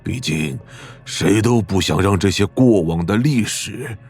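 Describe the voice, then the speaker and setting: Deep, gravelly old patriarch. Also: male voice, lively intonation, a little mellow, heavily acted. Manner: grave, deliberate, commanding. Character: stern, menacing old male clan patriarch and magic minister. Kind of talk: animated audio drama acting. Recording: background music under the voice.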